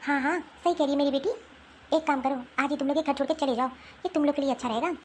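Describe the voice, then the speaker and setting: A woman voicing an angry, sharp-tongued woman scolding relatives in a family drama cartoon. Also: high voice, steady tone, fast talker, slight background noise, natural voice. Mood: haughty, dismissive. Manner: scolding, indignant. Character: angry, sharp-tongued Indian women quarrelling in a family feud.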